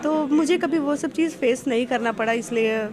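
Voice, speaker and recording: A woman with a distinctly high voice talks casually as an interview guest. Her manner is informal and giggly, and a clear murmur of people sits behind her. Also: moderate pace, a crowd in the background. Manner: informal, giggly, often laughing. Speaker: singer being interviewed.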